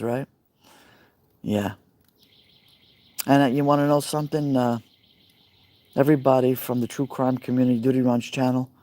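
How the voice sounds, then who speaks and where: Mellow-voiced Brooklyn man chatting. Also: mid-range voice, no echo. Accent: Brooklyn New York. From Brooklyn, New York. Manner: chatty, rambling, answers viewer comments by name. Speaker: live streamer. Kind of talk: casual live stream chat with viewers. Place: outdoors by a fire pit.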